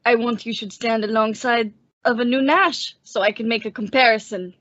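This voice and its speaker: A woman playing a teasing old male musician and professor in a radio comedy, mock-courtly in his flattery. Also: on the high side, normal speed, noisy background, lightly acted. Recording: dull lo-fi recording.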